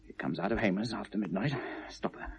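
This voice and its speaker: An actor playing a tormented, obsessive lovelorn British man confessing to police, halting and bitter, shifting from hushed to anguished in a 1970s radio drama. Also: on the low side, husky. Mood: haunted, hushed. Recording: noisy vintage radio play recording.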